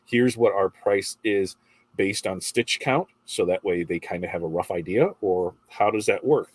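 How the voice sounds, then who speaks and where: Husky, congested man with a deep voice. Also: moderate pace, no room sound. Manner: chatty, rambling, asks viewers questions. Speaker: livestream host reading viewer comments. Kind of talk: casual morning livestream chat.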